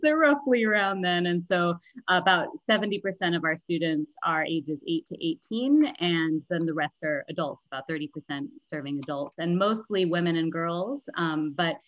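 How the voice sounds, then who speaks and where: Low-voiced woman, dry muffled audio. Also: expressive, moderate pace, dry recording. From San Francisco Bay Area. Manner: articulate, laughs easily. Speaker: nonprofit founder, guest. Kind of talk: interview answers.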